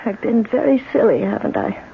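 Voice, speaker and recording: A woman playing a frail, confused, anxious wife in a 1970s radio drama, drowsy, halting and pleading. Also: mid-range voice, big pitch swings, gravelly. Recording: noisy, muffled 1970s radio drama recording.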